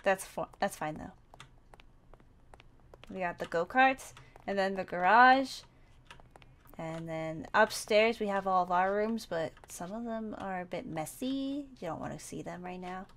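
A woman's voice: mid-range voice, animated, measured pace, fairly bright tone. A woman playing a playful, carefree young survivor in a Minecraft roleplay, talking in a casual, bubbly, impulsive way.

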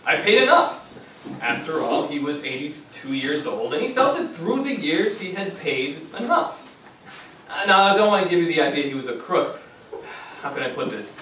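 A man acting a fond, self-conscious grandson in a stage memory play. He is young and fairly high-voiced, with lively swings of pitch, heard from far off in an echoey theater. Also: normal speed. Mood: wry, fond. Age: young adult. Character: affectionate, self-conscious grown grandson narrating family memories. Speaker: stage actor. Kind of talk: theatrical narration and dialogue before an audience. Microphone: far camera microphone. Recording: echoey theater, distant mic, faint noise.

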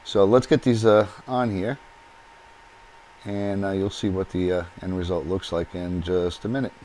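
A man's voice: deep voice, fairly even intonation, normal speed, a little mellow, slight background noise, low-quality recording. A man hosting a do-it-yourself video, explaining each step as he works with his hands at a workbench in his home workshop. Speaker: DIY channel host. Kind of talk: hands-on how-to demonstration.

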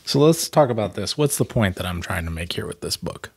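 A man's voice: lowish voice, lively intonation, fairly quick, husky. A man in his thirties speaking as a vlog host and storyteller, earnest and emphatic, close to a desk microphone. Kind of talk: storybook reading and candid commentary. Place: small plain room indoors.

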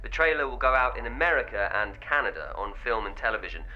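An actor playing a businesslike director's assistant, formal, clipped and unflappable, in a radio drama. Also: male voice, medium pitch, animated, quick pace, pushed and bright, highs cut off. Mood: businesslike, insistent. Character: brisk, businesslike male assistant to a film director.

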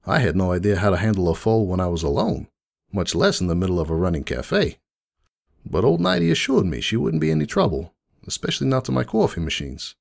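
A man's voice: low voice, very animated, a little fast, vocal fry, exaggerated voice. A man voicing a world-weary middle-aged pony who runs a coffee shop, telling his story in a folksy, wistful, confiding way as a dramatic monologue. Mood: fond, reminiscing. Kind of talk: dramatic monologue reading of fan fiction. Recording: music behind the voice.

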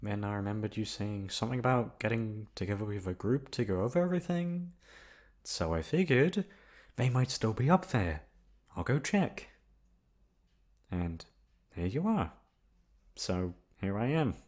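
Man acting as a low-voiced, playful college guy. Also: lively intonation, measured pace, pauses often, a little mellow. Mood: casual, friendly. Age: young adult.

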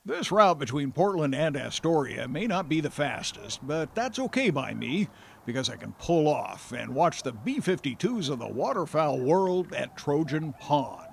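A man's voice: fairly high pitch, very animated, moderate pace, vocal fry, slight background noise. A middle-aged man hosting a travel show, narrating in an upbeat, folksy way, sometimes on camera outdoors. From Oregon. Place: outdoors in woods and by a roadside.

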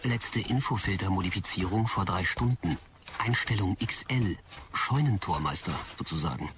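Man as a low, distant digital assistant. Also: normal speed, background noise, lightly acted. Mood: matter-of-fact. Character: obstinate male digital assistant announcing system messages.

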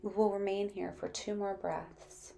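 A woman narrating a guided meditation in a gentle, soothing, unhurried manner. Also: mid-range voice, steady tone, vocal fry.

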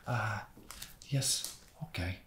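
An awkward, fussy and faintly creepy vampire played by a man speaks extremely slowly in a low voice. He halts and hesitates softly, in an ASMR roleplay recorded with roomy echo. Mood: hesitant, creepy. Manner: halting, hesitant, softly spoken. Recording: roomy echo, loud background noise.

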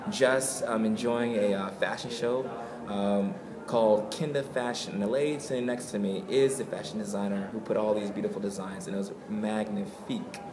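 A man's voice: lowish voice, fairly even intonation, normal speed, hardly any pauses, crowd noise. A young man hosting a casual on-camera interview at a fashion event. He is upbeat and keeps prompting his guest with questions. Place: indoors in a hotel at a fashion show. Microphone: camera mic at arm's length. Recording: echoey room with background noise.

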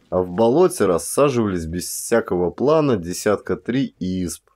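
A man reading literary prose as an actor, in expressive scripted narration. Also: on the low side.

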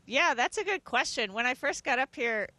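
A woman's voice: high voice, expressive, moderate pace, pushed and bright, no echo. A woman, around her forties, speaking as an astronaut in an interview, explaining things conversationally into a handheld microphone. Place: inside a space station module.

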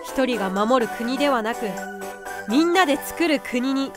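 A woman's voice: sits high, lively intonation, moderate pace, music in the background. A woman voicing a small, confident unicorn guardian in an anime-style voice drama, boyish and theatrical. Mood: confident, persuasive. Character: small cute unicorn guardian beast, confident scheming mentor.

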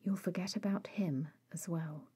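A woman voicing an exasperated, protective male vampire in an audio story, scolding in a clipped, often hushed way. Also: lowish voice, a little slow, husky. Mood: hushed, urgent.

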